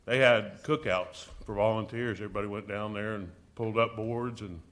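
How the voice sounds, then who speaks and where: Deep-voiced guy running a county committee meeting, plain folksy talk, kinda low pitch. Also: steady tone, moderate pace, fairly bright tone. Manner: plain-spoken, folksy, reminiscing. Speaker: committee chair. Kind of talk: public meeting, casual chat.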